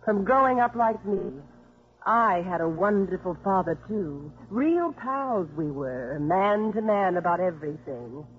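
An actress playing a guarded, wounded, defiant career woman with a husky voice in a 1950s radio drama. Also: lowish voice, animated, measured pace. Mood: hurt, bitter. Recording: thin, phone-like old radio recording.

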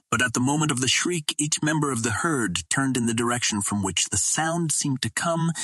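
Husky man reading a story aloud. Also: medium pitch, fairly bright tone, no echo. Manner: first-person literary storytelling. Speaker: audiobook reader. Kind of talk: scripted story narration.

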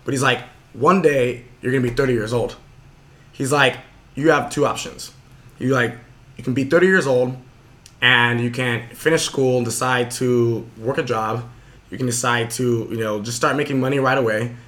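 A man's voice: medium pitch, steady tone, a bit bright. A young man hosting a podcast, telling a motivational story in a casual, earnest way with lots of fillers. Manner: fast, earnest, full of fillers. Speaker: podcast host.

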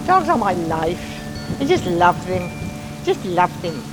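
A woman's voice: fairly low pitch, big pitch swings, slow pace, loud music behind the voice, rough recording. A woman animal rescuer talking unscripted, as in an interview.

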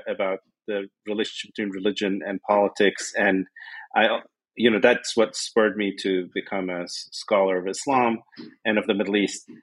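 Professor guy from lebanon being interviewed, fairly low voice, reflective scholarly talk. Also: fairly bright tone, husky, low-quality recording. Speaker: professor guest.

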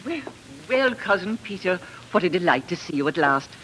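A gushing aristocratic mother in a vintage radio play. She is genteel and welcoming, with a very animated pitch over faint broadcast noise. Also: normal speed, rough recording. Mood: delighted. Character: effusive, welcoming middle-aged aristocratic mother. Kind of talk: 1940s radio period drama acting.

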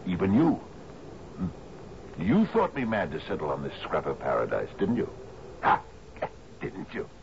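Gravelly, very deep old sea captain. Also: male voice, huge pitch swings, normal speed, pauses often, heavily acted. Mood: fervent nostalgia. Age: 50s. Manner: theatrical, musing, punctuated with questioning grunts. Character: expansive, dreamy old sea captain in his fifties. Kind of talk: radio drama acting. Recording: dull, noisy 1970s radio recording.

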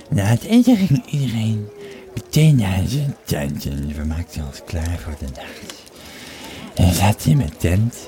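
An actor playing an old, tipsy Roman legionary who retells his war days in a rambling, halting, dramatic way for an audio play. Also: sits low, warm tone, heavily acted. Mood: tipsy storytelling. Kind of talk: in-character storytelling in an audio play.

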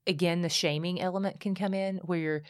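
A woman speaking candidly as a podcast guest, telling her story in animated bursts with frequent restarts. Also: lowish voice, fairly quick, no echo, clean studio sound. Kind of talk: casual conversation.

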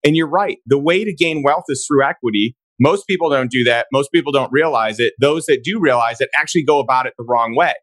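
Raspy, hoarse male host, mid-pitched, emphatic and upbeat, recorded dry. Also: fairly quick, few pauses, fairly bright tone, dry recording. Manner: emphatic, enthusiastic. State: hoarse from days of talking. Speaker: podcast host. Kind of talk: casual interview chat.

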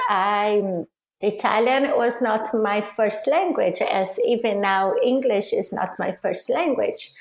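A middle-aged woman from Brazil with a Brazilian accent, answering interview questions haltingly as she searches for words. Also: mid-range voice. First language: Portuguese. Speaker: podcast guest, leadership coach. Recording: roomy, echoing sound.

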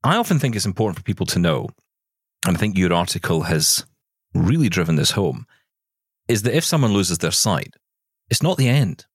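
A man's voice: low-pitched, sing-song, normal speed, long pauses, breathy edge, no room sound, clean studio sound. A man hosting a conversational interview, speaking emphatically and repeating phrases to stress his point. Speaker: show host interviewer.

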